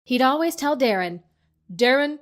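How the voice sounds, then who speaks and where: A woman playing a wry, gently bossy young wife with a teasing, conversational manner in an audio drama. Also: sits high, lively intonation, normal speed. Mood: wry, fond.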